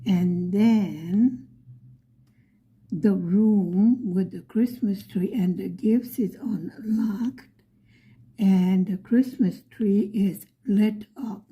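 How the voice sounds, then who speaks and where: A middle-aged woman from Buffalo, New York, telling a story in a soft, often whispery voice. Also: mid-range voice, drawn-out words, lo-fi sound. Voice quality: frequently whispery, breathy delivery. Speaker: vlogger.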